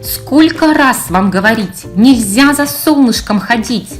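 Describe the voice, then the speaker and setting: A woman's voice: a little high, expressive, measured pace, faint music behind. A woman voicing a grumpy old male owl who scolds and nags in preachy rhymed verse for a children's storybook. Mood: scolding. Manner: preachy, nagging, rhymed speech.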